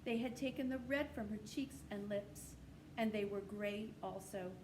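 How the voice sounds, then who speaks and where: A woman reads a children's novel aloud in a measured, descriptive storytelling manner. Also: mid-range voice. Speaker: storybook reader. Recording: clearly noisy amateur recording.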